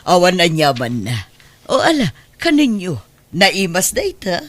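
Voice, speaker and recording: An actress playing a generous, well-off madam in an Ilocano drama, gracious and unhurried, with an Ilocano Filipino accent. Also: female voice, fairly low pitch, huge pitch swings, full character voice. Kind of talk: Ilocano radio-style drama acting.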